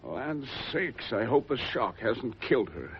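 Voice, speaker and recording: Animated English gentleman investigator. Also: mid-range voice, a little slow, lo-fi sound, a little hiss or hum. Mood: fascinated. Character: authoritative, excitable educated English gentleman investigator. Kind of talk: radio drama acting.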